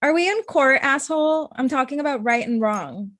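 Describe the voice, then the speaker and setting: A woman's voice: high-pitched, expressive, moderate pace, a bit bright, dry recording, clean studio sound. A young woman acting a blunt, hurt, confrontational ex-girlfriend in her thirties in a comedy-drama table read. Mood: hurt, indignant. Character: Amita, blunt, hurt ex-girlfriend, thirty-five, sharp-tongued lawyer.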